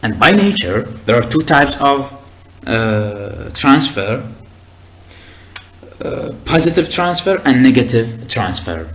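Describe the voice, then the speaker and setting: Slow arabic-speaking guy lecturing, lots of uh and restarts, explains step by step. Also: medium pitch, sing-song, a bit bright. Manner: hesitant, frequent uh fillers and restarts; deliberate, explanatory. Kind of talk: recorded lecture.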